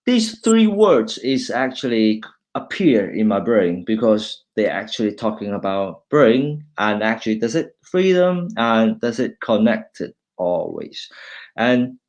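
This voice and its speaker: A middle-aged man from Hong Kong with a Hong Kong accent and Cantonese as his first language, an engineering lecturer giving an informal, halting talk full of restarts. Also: medium pitch, sing-song, darkish tone. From China, raised in Hong Kong. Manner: halting, with many restarts, switching between English and Cantonese. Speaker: university lecturer, guest speaker. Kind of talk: informal talk presenting slides.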